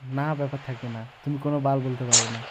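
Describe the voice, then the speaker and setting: Young guy arguing casually on a call, keeps pressing, pretty monotone, noisy audio. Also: medium pitch, fast. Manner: insistent, repetitive questioning. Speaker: caller. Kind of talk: casual phone argument. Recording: noisy hiss with clicks.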